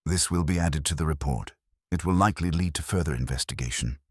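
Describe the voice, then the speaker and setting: A man voicing a stern, unhurried detective in an audiobook, speaking with deliberate finality. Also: extremely low pitch, breathy edge, no echo, clean studio sound. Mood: grave, certain.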